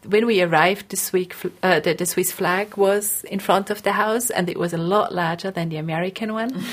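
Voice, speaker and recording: A woman's voice: lowish voice, moderate pace, hardly any pauses. A woman researcher explaining her work in an interview, thoughtfully repeating phrases as she forms her points.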